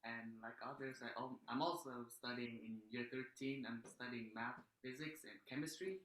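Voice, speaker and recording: A man's voice: medium pitch, fairly even intonation, normal speed, a bit bright. A teenage student from Vietnam answers hesitantly, restarting words and leaning on you-knows. His voice comes through a laptop in an echoey room, with a faint hiss underneath. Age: late teens. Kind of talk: casual answers on a video call. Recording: roomy, echoey laptop audio.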